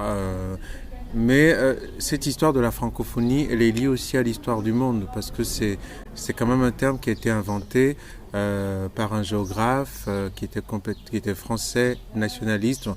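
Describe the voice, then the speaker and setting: A man's voice: on the low side, normal speed. A man explaining a historical idea in an interview, searching for words and using many fillers.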